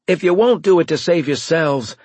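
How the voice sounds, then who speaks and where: A man playing a desperate, commanding space captain in an audiobook, forceful and insistent. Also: on the high side, moderate pace, husky, no room sound, voice pushed up. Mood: desperate pleading. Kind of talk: audiobook character dialogue.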